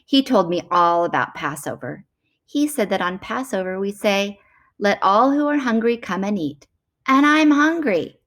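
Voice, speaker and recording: A woman's voice: medium pitch, animated, a little slow, fairly bright tone, pitched above the performer's own voice. A woman voicing a confident, naive young female elephant in a children's storybook, earnest and upbeat.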